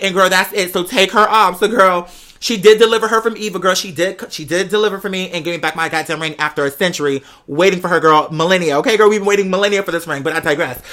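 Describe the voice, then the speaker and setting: A man's voice: high-pitched, bright, forceful tone. An American man chatting casually like a gossipy host, with a sassy manner and dramatic emphasis as he tells personal stories. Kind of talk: casual chat, gossipy storytelling.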